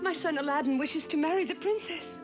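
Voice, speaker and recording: An actress playing a humble, fond mother in a children's storybook drama, deferential and expressive. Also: high voice, brisk, music in the background, lightly acted, poor audio. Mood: pleading.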